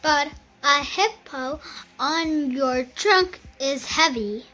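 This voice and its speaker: A child reading a picture book aloud in a careful, halting beginner's way, with music behind. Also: high voice, bright, forceful tone, poor audio.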